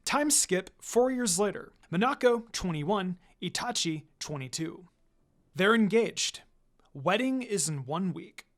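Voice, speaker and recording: Story narrator, scripted reading, very lively intonation, mid-range pitch. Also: slow. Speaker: fan fiction reader.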